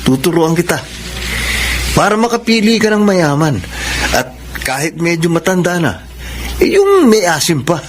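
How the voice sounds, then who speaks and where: An actor playing a smooth-talking, boastful young two-timing boyfriend in a Filipino radio drama, glib and colloquial. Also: male voice, high voice, very animated, moderate pace, long pauses, noisy background. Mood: cocky. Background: music.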